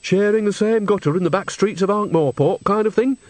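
Brief: male narrator as earthy storybook granny, chatty, nosy and blunt, raised lively pitch. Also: normal speed, no room sound. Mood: chummy. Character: nosy, chatty, earthy old country woman.

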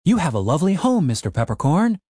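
A courteous young wizard with a diplomat's smoothness, as a male narrator puts him on. The pitch is raised and the voice moves lively through its lines. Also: fast, dry recording, studio quality. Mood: polite. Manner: polite, diplomatic.

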